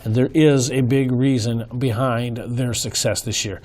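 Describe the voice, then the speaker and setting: A man hosting a casual talk show, hoarse, speaking in an earnest, folksy way with many fillers and restarts. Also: medium pitch, fairly even intonation, unhurried, darkish tone. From Minnesota, US. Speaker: host. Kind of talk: casual commentary.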